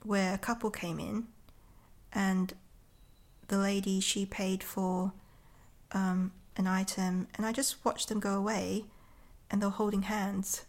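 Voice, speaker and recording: A woman's voice: mid-range voice, little pitch movement, a little slow. A woman poet talking casually and reflectively in an interview, in an understated, tentative way. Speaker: poet and author being interviewed.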